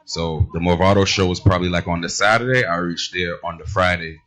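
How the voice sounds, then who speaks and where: A man from Boston, a DJ, answering interview questions in a relaxed, matter-of-fact way. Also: sits low, brisk. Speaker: guest DJ.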